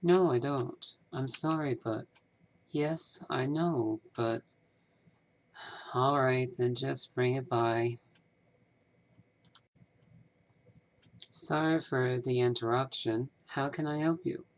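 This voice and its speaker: A man acts out a small-town banker of the 1930s in scripted game dialogue. He speaks very slowly in a mid-range voice, choosing his words formally. His temper is courteous and businesslike, with a wistful streak. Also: medium pitch, fairly even intonation, poor audio. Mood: polite, flustered. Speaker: amateur voice actor.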